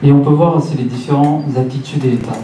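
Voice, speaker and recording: A man's voice: mid-range voice, steady tone, quick pace, warm tone. A man in his late thirties preaching a church sermon, speaking didactically and repeating phrases for emphasis. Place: church hall, preaching to a congregation. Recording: roomy church, distant mic, faint noise.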